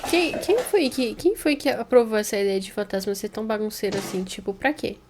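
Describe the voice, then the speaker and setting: A woman's voice: mid-range voice, a little fast, noisy background. A Brazilian woman chatting casually and playfully as she reacts to a horror video, joking and exaggerating. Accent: Brazilian Portuguese.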